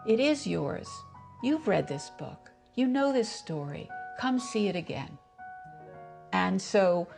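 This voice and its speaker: A woman's voice: medium pitch, creaky, darkish tone. A woman who leads a foundation, speaking in an earnest, emphatic documentary interview. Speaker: foundation leader. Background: music underneath.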